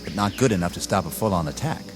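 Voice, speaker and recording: An actor playing an arrogant, inexperienced army lieutenant in a radio drama, with a clipped, formal, commanding manner. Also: male voice, sits low, animated, fast. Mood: controlled, firm. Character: arrogant, rigid, inexperienced young army lieutenant, eager for combat. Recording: dramatic music under the dialogue.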